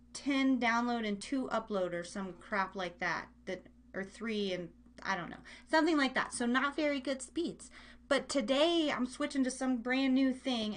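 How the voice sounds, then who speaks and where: High-voiced woman hosting a casual live show. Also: a bit bright. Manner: chatty, informal, slangy, addresses listeners directly. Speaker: host of a live online radio show. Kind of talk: casual, interactive live-stream chat and game hosting.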